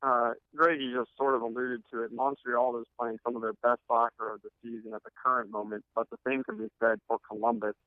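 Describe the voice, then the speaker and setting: A man with a clear, crisp voice of medium pitch asks a composed question as a reporter. It comes through a narrow phone line. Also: a little fast, few pauses, dry recording. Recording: dry, narrow telephone line.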